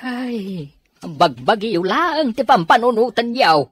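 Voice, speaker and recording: A man with an Ilocano Filipino accent playing a gruff, reproachful middle-aged father in a radio drama, with a husky voice. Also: very high-pitched, very animated, takes it slowly, fairly bright tone, dry recording, full character voice.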